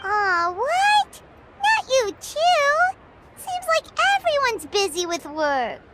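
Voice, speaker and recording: A woman voicing a small, excitable childlike fairy companion in a video game, squeaky, curious, chatty and full of exclamations. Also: takes it slowly, very noisy, full character voice. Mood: surprised. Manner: exclamatory, expressive. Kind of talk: animated video game voice acting. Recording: music behind the voice.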